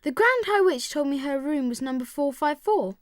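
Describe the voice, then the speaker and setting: Very high-pitched, plucky little boy mouse. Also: expressive, normal speed, no echo, studio quality. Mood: eager, scheming. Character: eager, plucky young boy turned into a mouse. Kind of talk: audio drama acting.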